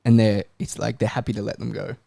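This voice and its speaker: Quick, creaky-voiced man chatting about football. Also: on the low side, little pitch movement, warm tone, no echo, clean studio sound. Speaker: football talk show panelist. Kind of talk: casual chat.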